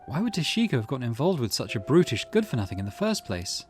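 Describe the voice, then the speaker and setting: A man reads a visual novel's dialogue aloud as a gaming host, at an ordinary middle pitch. His delivery is expressive, with small stumbles now and then, and faint music plays behind him. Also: fast talker. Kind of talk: reading game dialogue aloud.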